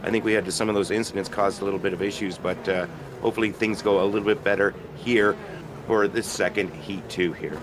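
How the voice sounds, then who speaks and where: A man's voice: on the low side, steady tone. Matter-of-fact male co-commentator, noisy line. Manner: measured, matter-of-fact, with frequent "uh" pauses. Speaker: race co-commentator. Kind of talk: sim racing color commentary. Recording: noticeable background noise.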